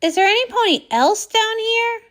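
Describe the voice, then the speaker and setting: A woman's voice: very high voice, animated, moderate pace, a bit bright, voice pushed up. A woman voicing a kindly, determined young heroine pony in a storybook, encouraging and full of questions. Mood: curious, eager. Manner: encouraging, inquisitive.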